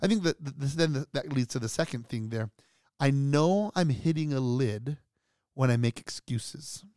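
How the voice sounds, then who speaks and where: A man's voice: medium pitch, moderate pace, a little mellow, no room sound, studio quality. A man co-hosting a podcast, chatting casually and walking through a list with an upbeat manner and frequent restarts. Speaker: podcast co-host.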